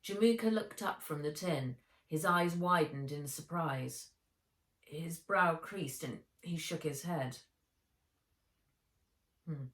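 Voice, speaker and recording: A woman's voice: deep voice, slow. A woman narrating a children's adventure novel as an audiobook, expressively and with dramatic emphasis. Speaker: author reading own book aloud. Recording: echoey room.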